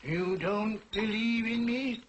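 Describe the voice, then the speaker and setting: An actor playing the solemn, mournful ghost of a dead man in a slow, portentous 1940s television drama style. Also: high voice, muffled recording, slight background noise. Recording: old 1940s TV soundtrack, music behind.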